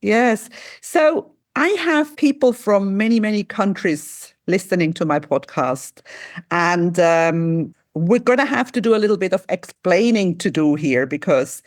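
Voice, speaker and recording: Low-voiced woman host, from switzerland, rambly friendly chat, dry close sound. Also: expressive, a little slow, no echo. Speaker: podcast host. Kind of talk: casual interview.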